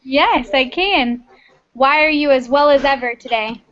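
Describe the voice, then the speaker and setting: High-voiced patient woman teacher. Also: measured pace, fairly bright tone. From Texas, United States. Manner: friendly, patient, encouraging. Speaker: English teacher. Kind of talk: online class small talk. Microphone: video call. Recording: muffled video-call audio.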